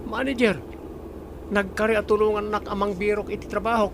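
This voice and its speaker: An actor with an Ilocano Filipino accent playing a carefree, coaxing young fiancé and favourite son in a radio drama. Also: male voice, high-pitched, little pitch movement, a little fast, background noise, lightly acted.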